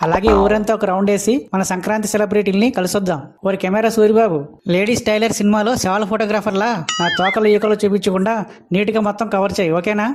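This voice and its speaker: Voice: mid-range voice, little pitch movement, quick pace, soft background music, natural voice. An actor voicing a cocky, chatty young rooster who hosts festival interviews in a comic Telugu cartoon, boastful and slangy. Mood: wry, bossy.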